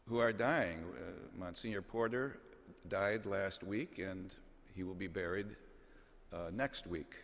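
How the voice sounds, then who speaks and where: Male bishop, 60s, measured homily delivery, slow and deliberate, big reverberant cathedral sound. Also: fairly low pitch, a bit bright. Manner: measured, solemn, deliberate phrasing with pauses. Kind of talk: homily, read from notes. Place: large cathedral, at the pulpit. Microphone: gooseneck pulpit microphone.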